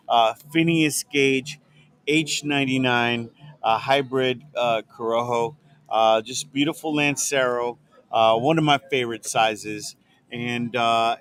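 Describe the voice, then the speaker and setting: An easygoing man hosting a podcast in an outdoor alley at night, his voice sitting at an ordinary middle pitch. Faint background hum carries under his relaxed, filler-heavy talk. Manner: easygoing, enthusiastic, many fillers. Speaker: podcast host. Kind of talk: casual chat. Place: outdoor back alley behind a restaurant bar at night.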